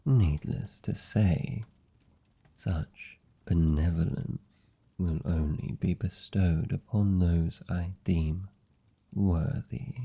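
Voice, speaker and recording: Man acting a very slow, gravelly British vampire lord. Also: steady tone, warm tone, full character voice. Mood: teasing. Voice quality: low, gravelly, husky. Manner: slow, deliberate, aristocratic, teasing. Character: haughty male vampire lord, suave, condescending, seductive. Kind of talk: fantasy ASMR roleplay.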